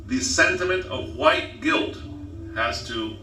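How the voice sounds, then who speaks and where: A man's voice: mid-range voice, big pitch swings, takes it slowly, vocal fry, sharp tone, soft background music. A man speaking as a podcast guest, emphatic and preachy, often pressing "okay?" to drive his points home. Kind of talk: casual talk show chat. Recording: roomy and distant.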